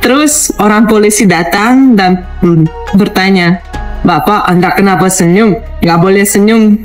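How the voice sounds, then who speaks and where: Female, Russian accent, native Russian speaker, casual storytelling, halting simple phrasing, medium pitch, music underneath. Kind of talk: casual anecdotal talk to camera.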